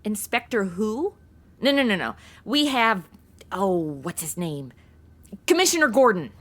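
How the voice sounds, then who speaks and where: A woman's voice: mid-range voice, sing-song, measured pace, fairly bright tone. A woman playing a sarcastic, flippant modern young woman in a comic audio drama, talking in casual slangy banter. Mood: sarcastic, exasperated. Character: snarky modern young woman stuck in old radio shows. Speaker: star of an audio comedy.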